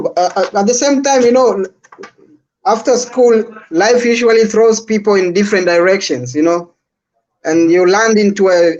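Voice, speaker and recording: A man with an East African accent chatting casually as a guest on a live stream, over a dull online call. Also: high-pitched. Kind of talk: casual chat.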